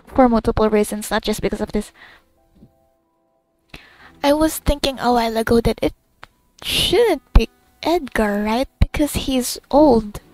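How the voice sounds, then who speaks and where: A young woman reacting casually to a game, rambling and expressive in a bewildered way. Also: a little high, unhurried, pauses often, no room sound, soft background music, poor audio. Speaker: gaming streamer. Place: game commentary with game music behind.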